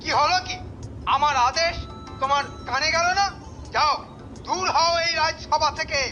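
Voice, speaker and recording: Sharp, high-voiced pompous villain minister. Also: fairly even intonation, normal speed, dull audio, faint music behind. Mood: angry. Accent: Bengali. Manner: haughty, curt, commanding. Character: pompous, scheming male royal minister, cartoon villain. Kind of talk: Bengali cartoon voice acting.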